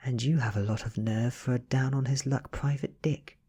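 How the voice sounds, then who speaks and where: A man's voice: medium pitch, fairly even intonation, moderate pace, mellow, husky, pitched above the performer's own voice. A British man voicing a cool, teasing and imperious female vampire who looks like a teenager, in a story reading.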